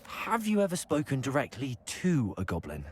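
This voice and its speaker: A man's voice: medium pitch, huge pitch swings, normal speed, slight background noise. A young man playing a level-headed, curious teenage wizard in a video game. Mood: calm, curious.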